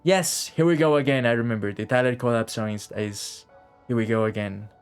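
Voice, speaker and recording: A man's voice: mid-range voice, a little fast, clear, resonant tone, faint music behind. A man chatting casually on a game live stream, rambling and repeating phrases as he thinks aloud.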